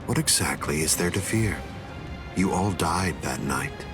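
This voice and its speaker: A man voicing a calm, arrogant villain in an anime game dub, composed and condescending. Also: deep voice, a little slow. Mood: coldly mocking. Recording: music behind the voice.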